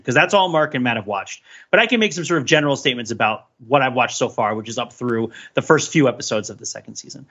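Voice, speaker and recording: An animated man with a bright, forceful voice chats casually as a podcast co-host. He rambles in a jokey way and circles back over his phrases. The recording is a bit dull and lo-fi. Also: mid-range voice. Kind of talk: casual pop-culture discussion.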